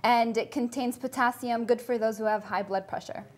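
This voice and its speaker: A woman's voice: on the high side, steady tone, moderate pace, darkish tone. A young woman from California, a dietetics student, upbeat and talking straight to camera as she presents a cooking segment in a studio kitchen. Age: young adult.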